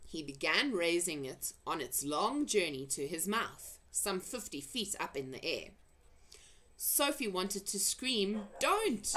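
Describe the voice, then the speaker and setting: A woman narrating a children's adventure story in an expressive read-aloud style. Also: lowish voice. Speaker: storybook reader.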